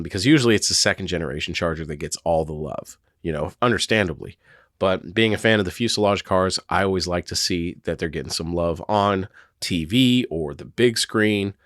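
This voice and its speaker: A hoarse man with a very low voice hosts his own podcast, talking casually and rambling with plenty of fillers. He sounds worn down from a cold, and the close mic gives a dry, dead sound. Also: fairly bright tone, no echo. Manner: rambling, full of fillers and hedges. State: hoarse, getting over a cold. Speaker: podcast host. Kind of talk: casual solo podcast talk.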